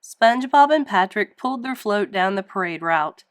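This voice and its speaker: A woman reading a children's story aloud in an animated narrating style. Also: mid-range voice, moderate pace, dry recording, studio quality. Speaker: storybook reader.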